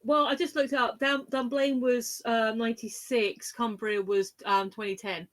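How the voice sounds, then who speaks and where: British woman, fairly high voice, casual conversational podcast chat. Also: fairly even intonation, unhurried, fairly bright tone. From Britain. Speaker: podcast panelist.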